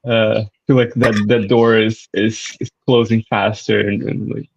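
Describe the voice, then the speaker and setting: A man's voice: lowish voice, level tone, moderate pace, creaky, darkish tone, breathy edge. A man co-hosting a casual tennis chat, hesitant and searching for words. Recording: dull lo-fi mic.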